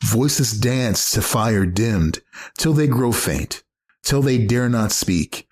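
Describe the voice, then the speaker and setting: A man giving a dramatic poetry recitation with measured, deliberate phrasing in short lines. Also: mid-range voice, expressive, slightly rough. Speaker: poetry reader.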